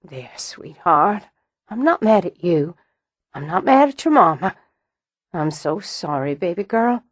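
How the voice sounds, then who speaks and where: Woman narrator doing fierce woman, forceful, biting, dry close sound. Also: lowish voice, expressive, moderate pace, no room sound, lightly acted. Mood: soothing. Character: fiery woman, quick to fury, tender with baby.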